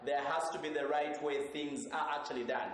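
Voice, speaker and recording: A man's voice: a little high, steady tone, brisk. A man hosting a panel talk show, earnestly preaching about doing things the right way as he wraps up. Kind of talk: panel discussion. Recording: echoey roomy hall with faint noise.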